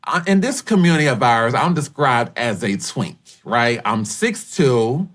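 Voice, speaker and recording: A man's voice: medium pitch, big pitch swings, a little slow, a bit bright. A man in his late twenties from the American South, an upbeat podcast guest who talks in an easygoing way. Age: 20s. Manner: upbeat, easygoing, laughs between phrases. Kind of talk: casual interview talk.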